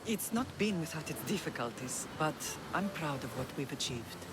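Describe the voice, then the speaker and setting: A woman playing a dignified older Greek mother who rules an island, grave and measured, in a video game drama. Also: low voice, lively intonation, heavy background noise. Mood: reflective, tender.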